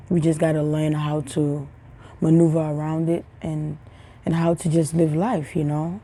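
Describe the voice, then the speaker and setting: Gravelly, mellow-voiced woman talking casually. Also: low voice. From Africa. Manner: rambling, repeats words, drops into hushed tones. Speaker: spiritual healer vlogger. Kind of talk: casual spiritual talk to camera.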